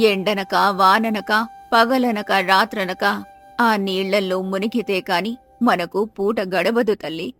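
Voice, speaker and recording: A woman's voice: mid-range voice, fairly bright tone, pitched below the performer's own voice, natural voice. A woman voicing an elderly, food-loving village granny, wheedling yet kindly, with a drawling aged delivery in a storybook tale.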